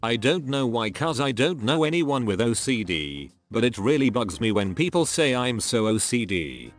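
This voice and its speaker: A man narrating a series of online posts in a steady read-aloud style. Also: medium pitch, moderate pace, soft background music. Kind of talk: scripted narration.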